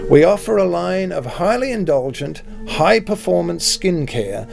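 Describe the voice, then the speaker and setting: Man reading as a gravelly, weary, slick salesman. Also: high-pitched, lively intonation, a little slow, over music, pitched above the performer's own voice, full character voice. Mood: slick pitch. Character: weary, slick male door-to-door cosmetics salesman.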